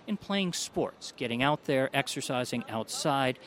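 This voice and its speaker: A male doctor speaking in a measured, explanatory tone, pitched in the middle range for a man. He lays out findings point by point as an interview guest. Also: animated, fairly bright tone, slight background noise. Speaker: doctor, allergy specialist. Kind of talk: interview answers.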